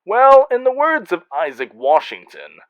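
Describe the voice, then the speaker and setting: A man's voice: very high voice, sing-song, a little slow, a bit bright, lo-fi sound, slight background noise. Wry male host with swooping, theatrical delivery. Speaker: host and narrator reading fan mail. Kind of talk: scripted comic hosting.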